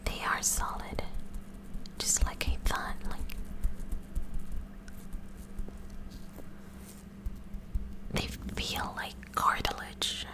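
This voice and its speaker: A woman voicing a kooky, curious teenage science club president in a breathy, halting whisper, for an ASMR roleplay. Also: lightly acted. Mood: careful, curious. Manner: halting, rambling, repeats words.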